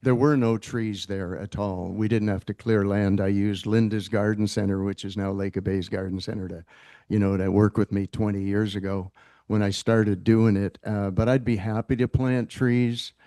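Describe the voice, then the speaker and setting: A man's voice: on the low side, level tone, moderate pace, warm tone, no room sound, clean studio sound. A man speaking as an applicant at a council meeting, chatty and rambling, often breaking off mid-sentence. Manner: chatty, rambling, self-interrupting. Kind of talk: public comment at a meeting. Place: council chamber.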